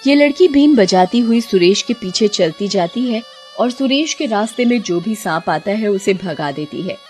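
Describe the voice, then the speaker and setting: A woman's voice: medium pitch, animated, moderate pace, darkish tone, soft background music. A woman giving scripted storybook narration for a cartoon.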